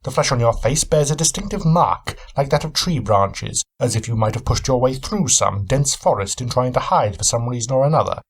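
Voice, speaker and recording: A man's voice: mid-range voice, animated, a little fast, few pauses, husky, voice pushed up. A man voicing a thin, keen-eyed older male detective who speaks in a formal, courteous, deducing way. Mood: probing.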